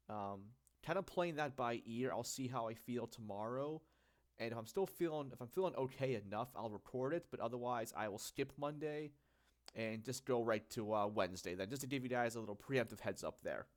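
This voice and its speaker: A young man sounding stuffed up as he comes down with a cold. He hosts a relaxed solo talk and rambles, filling gaps with ums and correcting himself as he goes. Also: medium pitch, normal speed. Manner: rambling, filler-heavy, self-correcting. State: congested, getting sick. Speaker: channel host. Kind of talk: casual solo talk about gadgets.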